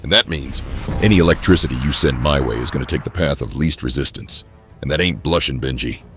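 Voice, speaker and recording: A very deep, forceful comic book bruiser made of rock, big-hearted and cocky. He talks in New tough-guy slang with a gruff edge, over music. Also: brisk. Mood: cocky, combative. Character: gruff, wisecracking rock-skinned superhero brawler, big and good-hearted.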